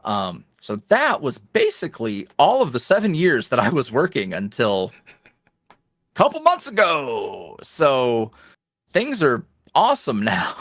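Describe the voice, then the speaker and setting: Male guest, animated pitch, chatty and rambling, dry close sound. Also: a little slow, no room sound. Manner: rambling, lots of fillers and restarts. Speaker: guest, ASMR roleplay creator. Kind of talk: casual interview chat.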